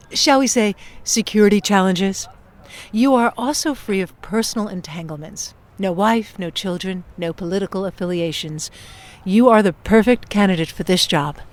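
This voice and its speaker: A woman acting as a prim emissary from the future in an audio play. She speaks at a middle pitch with formal, exact wording, staying composed and persuasive as she lays out terms. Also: moderate pace, natural voice. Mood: earnest, assured. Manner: formal, precise, businesslike, persuasive.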